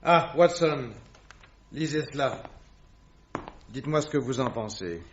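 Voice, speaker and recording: A man's voice: medium pitch, expressive, a little slow, slight background noise, lightly acted. Male actor as coolly logical detective, didactic, probing, very varied intonation, radio drama, muffled vintage recording. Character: brilliant, coolly logical detective quizzing his friend. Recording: dull old radio broadcast recording.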